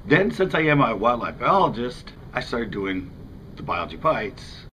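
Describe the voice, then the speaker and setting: A man's voice: medium pitch, very animated, moderate pace, over loud music. A middle-aged American man in his fifties, a fishing channel host talking casually to camera in a rambling, conversational way. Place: outdoors in front of a house window.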